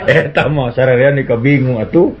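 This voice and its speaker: A man telling comic folk tales and jokes, doing quick voices for the characters in his stories. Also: mid-range voice. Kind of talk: comic storytelling in a duo. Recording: dull lo-fi recording with faint hiss.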